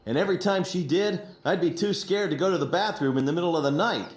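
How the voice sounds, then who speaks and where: A man playing a boyish, excitable young fighter pilot in a game, talking in an animated, informal way. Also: high-pitched, fast talker, a little hiss or hum, close to an everyday voice. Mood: reminiscing. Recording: roomy echo.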